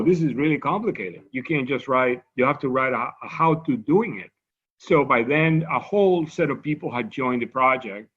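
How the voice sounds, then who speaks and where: A man's voice: mid-range voice, a bit bright, dull audio. A man from Cuba with a Cuban Spanish accent, giving a scientific lecture in a conversational way, often restarting his sentences and adding asides. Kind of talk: academic lecture.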